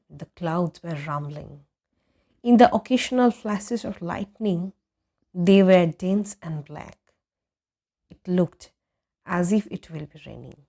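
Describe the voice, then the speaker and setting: A woman reading a literary story aloud in an expressive, measured narration with dramatic pauses. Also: mid-range voice. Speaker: audiobook reader.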